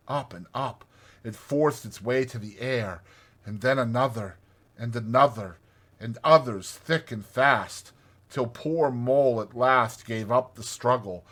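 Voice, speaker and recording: A man narrating a classic storybook in an expressive, dramatized audiobook style. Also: medium pitch. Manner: expressive, literary reading.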